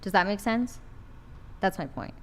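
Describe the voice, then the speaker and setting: A young woman guest on a panel discussion, measured as she makes her point and checks it lands. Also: mid-range voice, expressive, a little hiss or hum.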